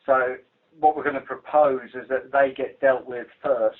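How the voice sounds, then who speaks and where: A man's voice: medium pitch, fairly even intonation, moderate pace. A British man giving an informal work briefing as a police investigator over a telephone line, speaking in a dry, blunt, hesitant way. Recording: muffled phone conference call recording.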